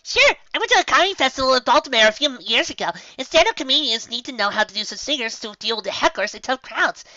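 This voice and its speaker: A woman's voice: sits high, animated, fast, few pauses, sharp tone, dry recording, dull audio, voice pushed up. A woman voicing a bubbly, hyperactive young female cartoon pony who exclaims eagerly in a storybook reading.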